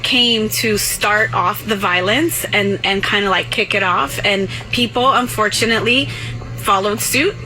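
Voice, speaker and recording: A woman's voice: mid-range voice, a little slow, music in the background, rough recording. A woman being interviewed, hedging and hesitating as she casually answers.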